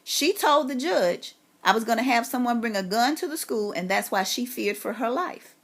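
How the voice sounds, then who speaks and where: A woman's voice: a little high, lively intonation, normal speed, fairly bright tone. An aggrieved woman making a long complaint on a phone call, speaking insistently and emphatically. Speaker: caller, parent making a complaint.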